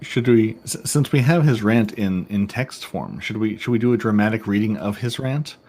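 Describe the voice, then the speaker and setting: A man's voice: on the low side, normal speed, darkish tone. A man co-hosting a gaming podcast, chatting casually in a wry, rambling way. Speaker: podcast co-host.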